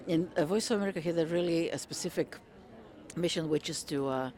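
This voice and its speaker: A woman in her fifties, originally from Croatia, answering interview questions in a measured way with frequent ums and uhs. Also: fairly low pitch, darkish tone. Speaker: interviewee, retired federal worker. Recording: crowd chatter behind. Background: crowd chatter.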